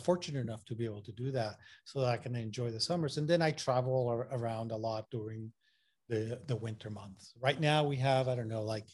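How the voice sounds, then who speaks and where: A man's voice: mid-range voice, a little mellow. A man from Puerto Rico with a mixed Puerto Rican and Massachusetts accent, talking casually as an interview guest, enthusiastic and full of fillers and restarts. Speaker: photographer guest.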